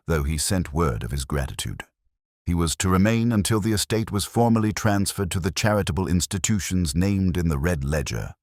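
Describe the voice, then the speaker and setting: Male story narrator, extremely low register, solemn and literary, with swooping intonation. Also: a little mellow, dry recording, clean studio sound. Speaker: audiobook narrator. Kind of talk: scripted narration of a Victorian detective story.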